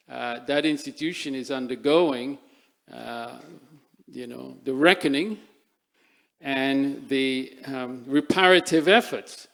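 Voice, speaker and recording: An older man from Trinidad giving a reflective conference talk, pausing often on fillers and restarting his sentences. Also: mid-range voice. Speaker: panel speaker. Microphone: microphone at a live event.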